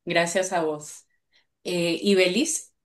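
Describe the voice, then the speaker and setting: A woman's voice: lowish voice, steady tone, slow. A woman moderating a video call, briefly thanking each participant and calling on the next. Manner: brief, courteous.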